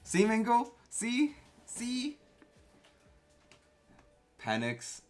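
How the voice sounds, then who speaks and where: A man's voice: very high-pitched, wildly swooping pitch, extremely slow, faint music behind. Chatty young man streaming from home. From Canada. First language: Korean. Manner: chatty, reads chat aloud, playfully defensive. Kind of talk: casual live-stream chat with viewers.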